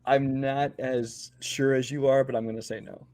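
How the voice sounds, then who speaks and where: A man with a medium-pitched voice talks casually on a movie podcast. His manner is easygoing and measured, and he steers the conversation from one film to the next. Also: level tone, a little mellow. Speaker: podcast co-host.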